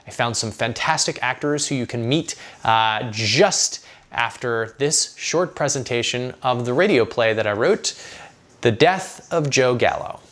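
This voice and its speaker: A man's voice: mid-range voice, unhurried, fairly bright tone. A man hosting a food vlog, talking casually to viewers.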